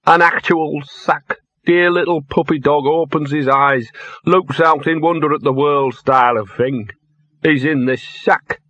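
A man voicing a cynical, maudlin little talking dog, hoarse and drunkenly slurred, in a comic fantasy audiobook. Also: a little high, steady tone, unhurried, fairly bright tone, no room sound, lo-fi sound, voice pushed up. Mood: maudlin self-pity. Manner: slurred, rambling, grumbling.

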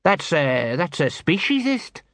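A nervous watch sergeant from a comic fantasy audiobook, put on by a man. He drags out his words hesitantly and evasively, somewhat high in pitch, in a dry close recording. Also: sing-song, unhurried, fairly bright tone, no echo, heavily acted. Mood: miserable, evasive. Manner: hesitant, drawling, miserable.

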